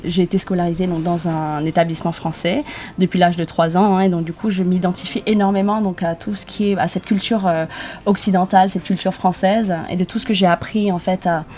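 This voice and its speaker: Soft-spoken young woman, hesitant. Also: lowish voice, steady tone. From Vietnam. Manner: hesitant, many filler pauses. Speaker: guest, author. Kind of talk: casual conversational interview.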